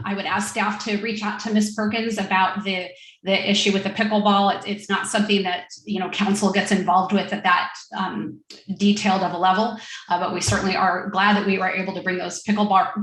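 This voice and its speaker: A woman's voice: mid-range voice, a bit bright, echoey room, low-quality recording. A woman serving as mayor, chairing a public council meeting in an informal way with frequent fillers and restarts.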